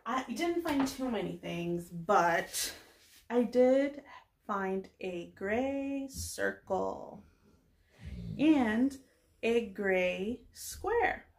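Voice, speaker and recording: A young woman teaches little children at a very unhurried pace, pausing between short phrases. Her voice sits at a medium pitch. The sound is roomy and echoing, picked up from a distance. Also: expressive. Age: young adult. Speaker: preschool teacher. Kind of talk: slow lesson for young children, filmed read-aloud greeting. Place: small indoor classroom-style room with bulletin board. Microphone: camera a few steps away. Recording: roomy echo, distant microphone.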